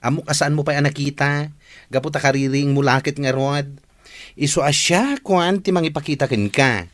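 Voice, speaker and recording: A man's voice: fairly high pitch, moderate pace, fairly bright tone, natural voice. A man playing a gossipy, indignant friend who bears bad news in an Ilocano Filipino radio drama. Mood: gossipy, urgent.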